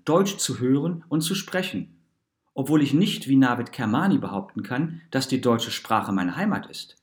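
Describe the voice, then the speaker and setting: A man's voice: medium pitch, lightly acted. A man voicing a passionate, well-travelled mother in a story, speaking earnestly, eloquently and reflectively about herself. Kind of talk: storybook narration in character.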